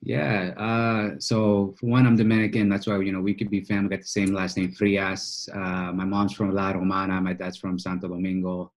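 A man's voice: sits low, flat delivery, normal speed, hardly any pauses. A man in his early thirties from Boston, telling his story in casual, slangy speech as a podcast guest. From United States, Boston. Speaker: podcast guest, financial coach. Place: small office, indoors, on a video call.

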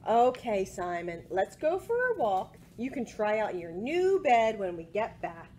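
A woman in her forties reading a picture book aloud to children in an expressive way, with asides about the pictures. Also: medium pitch, a little slow. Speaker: library storytime presenter. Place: small indoor room with bookshelves. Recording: home recording with faint background noise.

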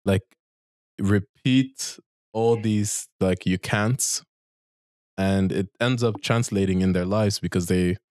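A man's voice: lowish voice, fairly even intonation, takes it slowly, a little mellow, no room sound, clean studio sound. A man in his twenties hosting a casual conversation, informally reflecting and tagging his points with "right?", speaking into a handheld microphone. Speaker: talk show host. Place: small barbershop, indoors.

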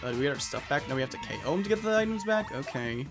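A man's voice: fairly high pitch, lively intonation, racing. A man giving chatty, rambling, casual commentary as he plays a video game. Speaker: let's player. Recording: music behind the voice. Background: game music.

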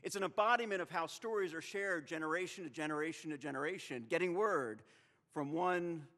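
A man in his thirties delivers a sermon into a lectern microphone. His preaching is measured and rhetorical, with dramatic pauses. The long reverb of a chapel hall trails behind his words. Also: fairly high pitch, a bit bright. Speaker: seminary president preaching in chapel. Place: chapel with stone wall, at a wooden lectern.

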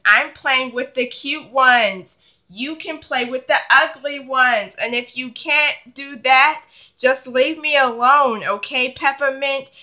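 A woman's voice: high-pitched, bright, forceful tone, full character voice. A woman voicing a bossy, selfish older sister, a young girl, in a children's doll play skit. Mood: annoyed, dismissive. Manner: bossy, dismissive.

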